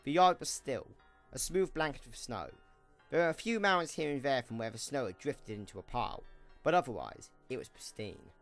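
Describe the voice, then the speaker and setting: A man narrating a scripted story for an audio drama in an expressive storytelling manner. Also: mid-range voice, normal speed, soft background music.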